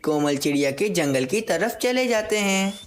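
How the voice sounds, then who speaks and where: A woman's voice: medium pitch, lively intonation, moderate pace, slight background noise. A woman narrator reading a scripted moral story for children.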